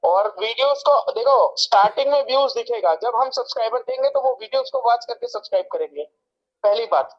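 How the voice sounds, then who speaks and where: A man's voice: very high voice, lively intonation, fairly quick. A man on a phone call making casual sales talk, explaining defensively and repeating himself. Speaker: seller of paid channel promotion. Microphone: telephone call. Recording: dull, lo-fi phone call audio.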